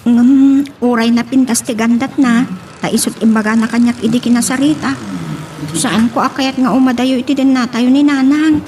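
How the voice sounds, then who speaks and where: An actress as a young Ilocano daughter with a very high voice. She sounds dutiful and troubled, in audio drama style. There is some noise behind her. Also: steady tone, normal speed, a little mellow, close to an everyday voice. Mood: earnest, troubled.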